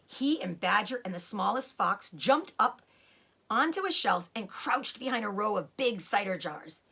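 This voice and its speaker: A woman reading a children's book aloud in an expressive, dramatic way, with short asides about the pictures. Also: medium pitch, unhurried, gravelly, husky. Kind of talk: animated storybook read-aloud with picture asides.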